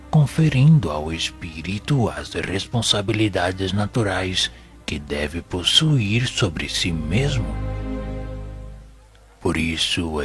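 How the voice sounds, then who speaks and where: A man with a Brazilian accent playing a venerable, wise and patient spirit mentor in an audio novel, speaking in a formal, didactic, measured way. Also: sits low, a bit bright. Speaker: audio drama actor. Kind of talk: solemn scripted spiritist teaching in an audio novel.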